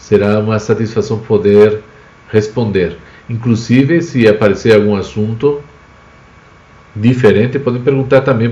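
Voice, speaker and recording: Man, Spanish accent, native Spanish speaker, low-pitched, informal live lesson, explains by example, echoey room. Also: a little mellow, highs cut off. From Spain, Basque Country. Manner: explanatory, spells out words with examples. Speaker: Spanish teacher, host of a live class.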